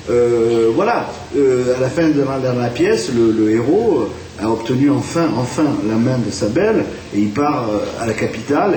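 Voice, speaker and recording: French guy rambling w lots of euhs, mellow voice, far off mic in an echoey room w a little hiss. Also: mid-range voice. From France. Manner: hesitant, many fillers and restarts. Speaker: theatre director, guest. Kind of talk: radio interview.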